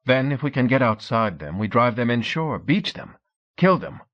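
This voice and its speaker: A man's voice: mid-range voice, normal speed, highs cut off, voice pushed up, lightly acted. A man voicing a cheerful, eager young Norse man with a thick accent. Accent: Norse-accented English. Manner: excited, eager.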